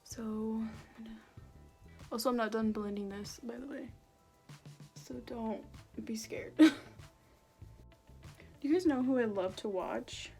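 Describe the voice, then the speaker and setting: A young woman with a slightly high, raspy voice from a sore throat. She chats in a loose, rambling way and keeps making jokes at her own expense. Faint music plays under her. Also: slow pace, long pauses, dark tone. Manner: self-deprecating, jokey, rambling. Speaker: beauty vlogger. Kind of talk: casual chat while doing makeup.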